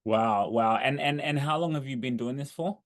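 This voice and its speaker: A man's voice: medium pitch, normal speed. A man hosting a podcast, asking long, rambling questions with encouraging restarts. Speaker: podcast host. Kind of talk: casual podcast interview.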